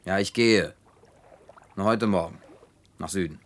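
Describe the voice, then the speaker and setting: A man's voice: deep voice, unhurried. An actor dubbing a stern, practical young man who talks in a blunt, disapproving way. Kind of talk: German film dub.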